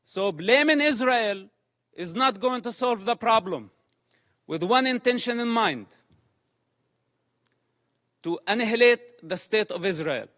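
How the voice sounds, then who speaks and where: A man making a public statement in an emphatic, measured and deliberate manner. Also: sits high, expressive, fairly bright tone.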